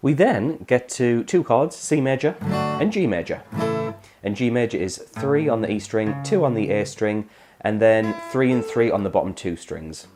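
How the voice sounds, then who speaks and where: A man, hoarse from a cold, explaining guitar chords step by step in a casual tutorial. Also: medium pitch, expressive, moderate pace. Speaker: guitar teacher.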